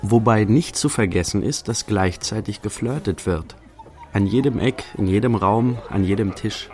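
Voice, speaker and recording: A man plays the narrator of a radio drama, reporting on an art-world party. His voice sits fairly low. Faint music runs underneath. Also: normal speed, darkish tone, lightly acted. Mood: observational. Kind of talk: scripted radio play narration.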